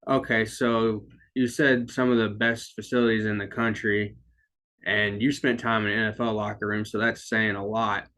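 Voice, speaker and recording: A man with a slightly low-pitched voice acts as interviewer. He puts his questions conversationally, in a plain everyday style. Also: level tone, moderate pace, bright, forceful tone.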